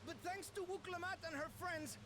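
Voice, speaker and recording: An actor playing a small, timid but determined bird-like festival leader in a fantasy video game, speaking with earnest bravery. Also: male voice, extremely high pitch, steady tone, normal speed, background noise. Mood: earnest resolve. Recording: loud game music over the voice.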